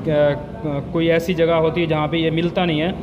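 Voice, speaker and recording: A man's voice: fairly high pitch, little pitch movement, normal speed, dull audio, noisy background. A man in his thirties, a truck mechanic, explaining a brake valve repair step by step in a workshop and often checking in with the viewer. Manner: explanatory, repeats "theek hai" to check in with the viewer; uses frequent Islamic phrases like inshallah and mashallah. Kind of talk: hands-on repair tutorial.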